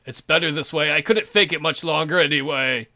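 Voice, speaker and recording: Man as a weary, sorrowful noblewoman, high voice. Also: brisk, sharp tone. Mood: resigned. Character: weary, sorrowful young noblewoman, regretful ruler.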